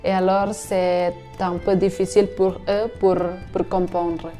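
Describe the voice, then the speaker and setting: Slow, mellow-voiced woman. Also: lowish voice, little pitch movement, faint music behind. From Indonesia. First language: Indonesian. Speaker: interviewee. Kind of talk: hesitant non-native French, restarting words.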